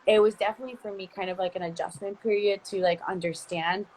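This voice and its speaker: A woman's voice: medium pitch, fairly even intonation, fast, a little mellow, rough recording. A woman from Costa Rica interviewing a guest in a casual livestream chat, talking in long rambling turns with frequent restarts. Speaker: interviewer, company founder. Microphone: phone, video call.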